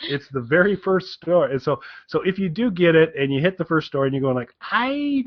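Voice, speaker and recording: A man co-hosting a podcast, giving a casual recommendation in a rambling, halting way and often repeating his phrases. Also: on the high side, lively intonation, no echo, muffled recording. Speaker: podcast co-host.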